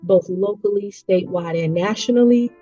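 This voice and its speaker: A woman in her forties giving an earnest, reflective personal talk, slowing down to stress her points. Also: mid-range voice, mellow. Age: 40s. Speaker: education leader. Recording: dull lo-fi audio with faint music.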